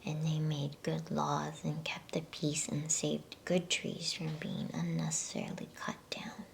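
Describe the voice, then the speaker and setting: A woman reading a storybook in a soft, breathy ASMR whisper, with a soothing, unhurried manner and casual delivery. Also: low voice, little pitch movement, low-quality recording. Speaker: ASMR bedtime story reader.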